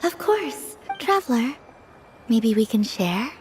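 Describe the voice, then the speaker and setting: A young moon goddess speaks in a high, serene voice. The delivery is simple, naive and earnestly curious, in video game style over faint music. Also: female voice, big pitch swings, slow, poor audio.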